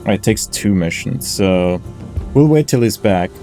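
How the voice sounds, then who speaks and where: Male let's play host: relaxed, dark tone, casual musing delivery, faint music behind. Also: fairly low pitch, a little slow. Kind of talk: casual game commentary, thinking aloud. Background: game music.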